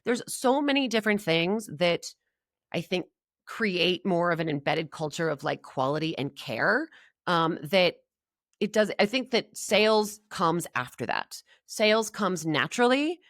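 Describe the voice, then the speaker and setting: A woman's voice: lowish voice, lively intonation, unhurried, a bit bright, no room sound, studio quality. A woman speaking as a podcast guest, explaining her opinions in an informal, conversational way. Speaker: podcast guest, marketing agency executive.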